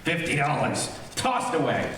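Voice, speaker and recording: A man's voice: mid-range voice, wildly swooping pitch, a little slow, heavy background noise. A man playing an exasperated, sarcastic, bickering husband in old-time radio comedy style. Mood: exasperated, aggrieved. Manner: griping, sarcastic. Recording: very echoey hall, distant microphone.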